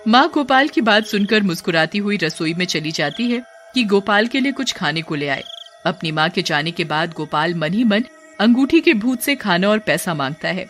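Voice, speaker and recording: A woman's voice: mid-range voice, lively intonation, normal speed, a bit bright, faint music behind. A woman working as narrator, reading a scripted children's story.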